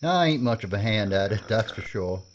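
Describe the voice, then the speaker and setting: A man's voice: on the low side, a little fast, highs cut off, a little hiss or hum, lightly acted. A man playing a teasing marshal. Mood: wry. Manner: teasing, folksy, lively. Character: wry frontier federal marshal, talkative. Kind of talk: audio drama acting.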